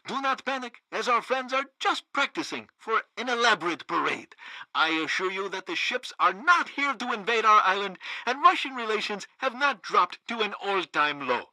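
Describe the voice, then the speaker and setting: Bombastic, bright-voiced propaganda radio host. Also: male voice, sits high, sing-song, moderate pace, no room sound, full character voice, rough recording. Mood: proud, bombastic. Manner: theatrical, bombastic. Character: pompous, fanatically loyal male propaganda radio host. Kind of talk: satirical radio news broadcast.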